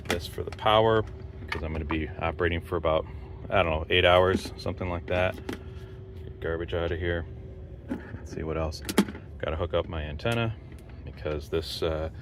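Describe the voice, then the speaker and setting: A man giving a casual, unscripted walkthrough for a hobby video, thinking aloud in a halting, rambling way. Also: low voice. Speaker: amateur radio operator making a vlog. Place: in and around a parked truck. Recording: steady background noise of car and outdoors.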